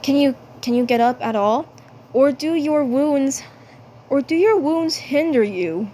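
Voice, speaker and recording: A woman voicing a caring male cartoon helper, earnest and reassuring, in a deliberate, repetitive delivery. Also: high-pitched, animated, dark tone. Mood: concerned. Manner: deliberate, repeats phrases. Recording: faint background noise.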